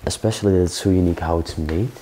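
Deep, mellow-voiced young man. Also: rapid-fire, a little hiss or hum. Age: young adult. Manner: relaxed, agreeable, asks questions. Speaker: urban explorer co-host. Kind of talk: casual chat while exploring. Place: large abandoned castle indoors.

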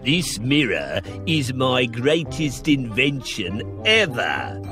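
Slow, gloating wicked sprite master. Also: male voice, medium pitch, wildly swooping pitch. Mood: boastful, scheming. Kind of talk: theatrical children's storybook app acting. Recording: loud music behind the voice. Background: music.

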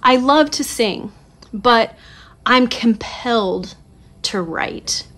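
A woman in her late forties from Southern California, chatting to camera about her songwriting in a casual, animated, self-mocking way, recorded on a phone in a small home studio. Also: on the high side, extremely slow. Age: 40s. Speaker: songwriter vlogger. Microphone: phone camera at desk distance.

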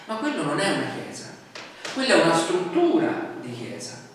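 Male pastor, 40s, chatty sermon delivery, slightly high voice, heard from afar in a very reverberant hall. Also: huge pitch swings, normal speed, fairly bright tone. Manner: conversational preaching with rhetorical questions and frequent fillers. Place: small church hall, indoors. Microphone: distant camera mic. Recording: echoey hall from far off with faint noise.

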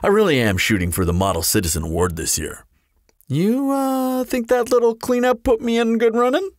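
A man's voice: extremely high pitch, animated, normal speed, no room sound, studio quality. A man playing a teasing, flirtatious immortal vampire in an audio roleplay, with a playful, sardonic, theatrical drawl. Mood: mock pondering, amused. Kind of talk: scripted audio roleplay acting.

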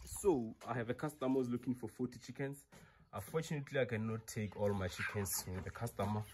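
A man's voice: medium pitch, fast, noisy background. A man in his thirties with a South African accent, a poultry farmer talking straight to viewers in a chatty, joking way as he films himself at work, now and then out of breath from the labour. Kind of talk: casual vlog narration. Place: inside a car and a farm shed. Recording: music playing clearly behind.

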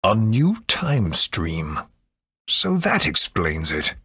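Very raspy, dramatic commanding leader. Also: male voice, low-pitched, huge pitch swings, slow pace, pushed and bright, no room sound, full character voice. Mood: thoughtful. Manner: dramatic, authoritative. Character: grave, commanding fortress leader, easily flustered. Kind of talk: video game voice acting.

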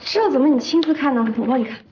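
A woman's voice: high voice, lively intonation, very fast, muffled recording, background noise. An actress in her twenties playing a spirited, eager and stubborn young assistant in a TV romantic comedy drama. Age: 20s. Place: office interior, TV drama production.

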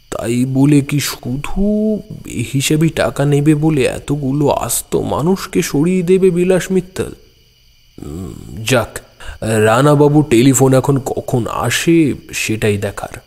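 An actor playing an astute, self-assured schoolteacher detective in an audio drama, brisk and authoritative. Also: male voice, mid-range voice, lively intonation, darkish tone. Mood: pondering. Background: music.

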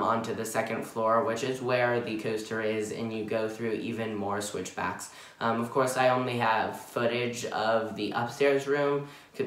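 A man's voice: fairly low pitch, fairly bright tone, far from the mic. A teenage boy reviewing a roller coaster in a casual, rambling way, full of ums and self-corrections, filmed in his bedroom by a camera a few feet away. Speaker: vlogger. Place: bedroom, indoors. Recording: roomy sound from off-mic.

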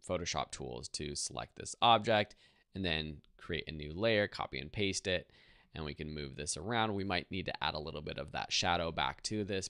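A man in his thirties giving a casual, easygoing step-by-step software tutorial in a conversational way. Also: low voice, no room sound, studio quality.

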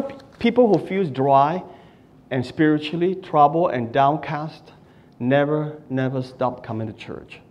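A middle-aged man preaching at a lectern in a small church, swinging between loud cries and hushed prayer. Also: sits high. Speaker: pastor. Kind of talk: sermon. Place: small church hall, indoors. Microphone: stand mic at a lectern.

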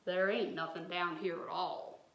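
Lady putting on a hollering storybook swamp devil, lower and gruff. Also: fairly even intonation, a little slow, echoey room, dull audio. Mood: blustering. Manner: blustering, hollering. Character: gruff male swamp devil trapped in a jug.

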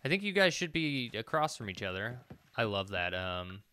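A man's voice: lowish voice, very animated, normal speed, bright, forceful tone, smooth. A man in his thirties giving casual gameplay commentary, talking to himself in a self-deprecating way. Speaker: let's play gamer. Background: faint game music.

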